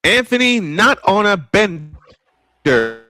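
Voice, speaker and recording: A man's voice: high voice, very animated, slow, pushed and bright, no room sound, clean studio sound. A man calling live baseball play-by-play in an old-school broadcast manner, with some wordplay. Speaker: play-by-play announcer.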